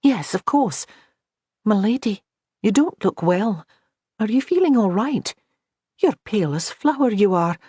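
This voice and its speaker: A woman's voice: mid-range voice, big pitch swings, measured pace, pauses often, dark tone, breathy edge, no room sound, studio quality, voice pushed up. A reader voicing an eager, fussy young maidservant who frets over her mistress. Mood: fretful. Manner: fussy and fretful.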